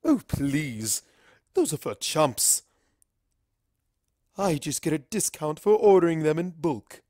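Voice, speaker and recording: A woman's voice: low-pitched, big pitch swings, slow pace, dark tone, no room sound, studio quality, exaggerated voice. A woman voicing a regal mother pony princess who is drunk, pompous and theatrical. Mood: grandiose, drunk. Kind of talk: acted fan fiction dialogue.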